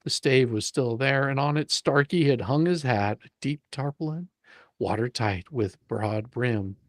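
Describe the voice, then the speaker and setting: A man narrating a story as a dramatic reading, with a playful, expressive, theatrical manner. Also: mid-range voice, unhurried, no echo, clean studio sound. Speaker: reader and host of a live dramatic reading.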